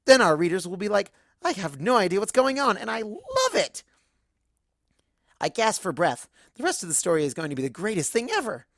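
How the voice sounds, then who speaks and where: A woman voicing an excitable young female cartoon pony, bubbly and breathless, rambling through a first-person story. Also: mid-range voice, a little fast, no room sound, studio quality. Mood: giddy.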